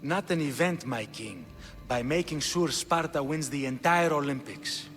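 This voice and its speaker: A man acting a shrewd, coolly authoritative Spartan king in a video game, speaking formally and deliberately. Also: fairly high pitch, fairly bright tone. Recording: reverberant stone hall, music underneath.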